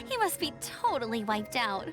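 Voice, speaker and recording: An actress playing an upbeat, caring young woman scout who talks eagerly and warmly to a friend. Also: on the high side. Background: game music.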